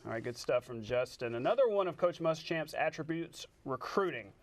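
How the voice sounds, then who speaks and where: A man with an American accent anchoring a sportscast, calling highlights with playful, jokey asides. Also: animated, moderate pace, no room sound. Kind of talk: highlight commentary and studio banter. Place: TV studio.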